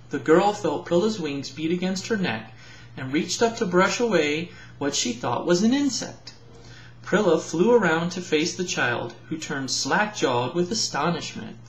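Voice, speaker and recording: A man's voice: fairly high pitch, fairly bright tone. A man narrating a children's storybook aloud, as a parent reading to children. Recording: roomy echo, slightly dull home recording.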